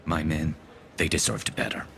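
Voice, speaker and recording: A man voicing a grim alien sniper in a video game, with a gravelly, brooding voice and dry wit. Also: a bass voice, completely flat delivery, normal speed, a bit bright, a little hiss or hum. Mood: brooding, conflicted. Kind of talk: video game dialogue acting.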